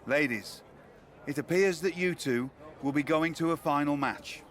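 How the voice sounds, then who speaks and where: A man's voice: fairly high pitch, a little slow, natural voice. A man playing a dapper wrestling promoter with articulate, theatrical delivery and dry wit in video game cutscenes. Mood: formal, showman-like.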